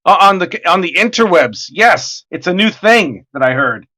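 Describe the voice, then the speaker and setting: A man with a hoarse voice, chatting casually and joking as the host of a livestream while he draws, excitable and swearing freely. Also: high-pitched, normal speed. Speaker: artist hosting a livestream. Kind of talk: casual chat while drawing.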